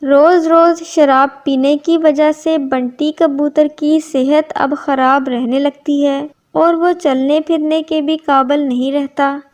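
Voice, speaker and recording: Lady narrator for a kids cartoon story, high voice, reads really slowly. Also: steady tone, darkish tone.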